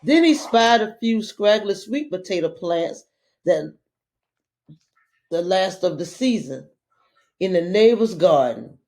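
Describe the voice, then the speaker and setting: A woman reading a children's story aloud in a dramatic, deliberate way, drawing out her phrases. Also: medium pitch. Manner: deliberate, expressive, drawn-out phrasing.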